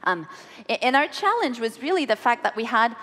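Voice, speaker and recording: A woman in her forties giving a technical keynote on stage, explaining her work in a measured way with some ums and restarts. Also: a little high, sing-song, fairly bright tone. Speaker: keynote speaker. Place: large conference hall on stage. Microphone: podium microphone and headset mic. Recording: long hall reverb.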